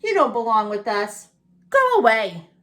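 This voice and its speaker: Vain, unwelcoming storybook owl, haughty and higher-pitched read by a woman. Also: sing-song, measured pace, a bit bright. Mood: scornful. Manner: haughty, dismissive. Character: vain, snooty, colorful owls, haughty and unwelcoming.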